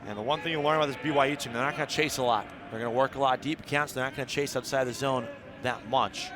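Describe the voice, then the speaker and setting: A man's voice: mid-range voice, fast talker. A man calling live baseball play-by-play on the radio, mixing clipped descriptive calls with longer analysis. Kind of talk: live radio sports commentary. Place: outdoor ballpark broadcast. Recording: steady outdoor ballpark background noise.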